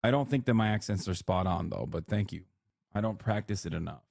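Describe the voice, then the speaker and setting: A man from Turkey with an American English accent, chatting casually as a live-stream host, blunt and animated with lots of filler words and casual swearing. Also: lowish voice, brisk, vocal fry, no room sound, clean studio sound. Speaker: livestream host.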